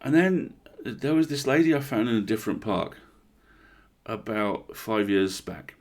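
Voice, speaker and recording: A man's voice: on the low side, animated, unhurried. A man voicing a blunt, rattled park ranger in a horror story, confiding and swearing in an incredulous, conversational way.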